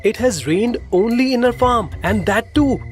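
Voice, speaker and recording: An actor voicing a sly, secretive village farmer husband in an animated storybook tale, with theatrical delivery. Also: male voice, very high-pitched, moderate pace. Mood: excited, secretive. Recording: background music under the voice.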